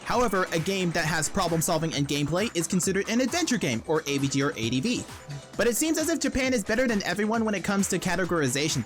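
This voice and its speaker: Casting: irreverent video essayist, animated and fast-talking, scripted comedic narration over light music. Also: mid-range voice. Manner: wry, irreverent. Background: background music.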